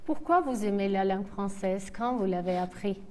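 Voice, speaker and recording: A woman's voice: medium pitch, animated, moderate pace, warm tone, a little hiss or hum. A woman conducting an interview, asking short, direct questions.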